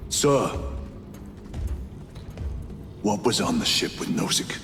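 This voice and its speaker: An actor playing a stoic, battle-hardened space marine lieutenant in a video game, speaking formally, tersely and with restraint. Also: male voice, on the low side, lively intonation, moderate pace, a bit bright. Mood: grave, probing. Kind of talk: dramatic video game cutscene acting. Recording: reverberant hall, music behind.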